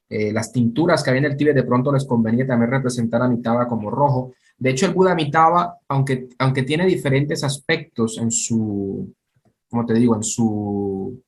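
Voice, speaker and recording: A man in a mid-range voice explains things patiently, step by step. He often stops to check that his listener is following. He sounds like a teacher talking with a student over a video call. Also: mid-range voice. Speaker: Buddhist teacher.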